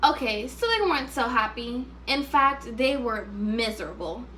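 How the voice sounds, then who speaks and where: A woman teacher reading a story aloud to first graders, expressive and instructive, pausing to put questions to them. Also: on the high side, a little hiss or hum.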